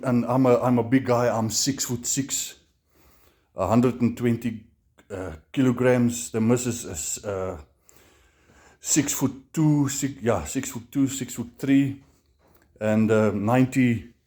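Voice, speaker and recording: A middle-aged Namibian man with an Afrikaans accent, chatting casually in a self-made review, halting and repeating words with many fillers. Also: mid-range voice, a little mellow. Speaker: motorcycle vlogger.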